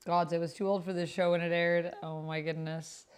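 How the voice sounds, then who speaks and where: A woman streamer chatting casually and reading typed roleplay aloud, sometimes halting as she types along. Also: lowish voice, level tone, clear, resonant tone. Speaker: streamer playing an online roleplaying game.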